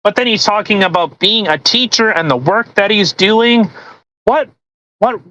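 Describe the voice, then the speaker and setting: A woman hosts a casual podcast with a mid-pitched voice. She rambles and circles back over her points, sounding incredulous and mocking. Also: lively intonation, a little slow, pushed and bright, poor audio. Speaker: podcast host. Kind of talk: casual chat.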